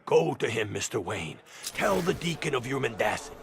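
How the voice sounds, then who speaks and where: Creaky, taunting game villain. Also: male voice, medium pitch, sing-song, brisk, a bit bright. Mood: preachy, menacing. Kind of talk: video game character dialogue. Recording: distant voice amid crowd noise.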